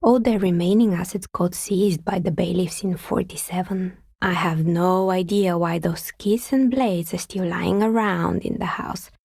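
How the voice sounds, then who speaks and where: An actress playing a wry, world-weary artisan dicemaker in a video game, speaking in a dry, understated, matter-of-fact way with a husky, breathy voice. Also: female voice, lowish voice, steady tone, a little slow, hardly any pauses, darkish tone, no room sound, heavily acted. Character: wry, world-weary woman artisan who makes novelty dice. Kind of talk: video game character dialogue.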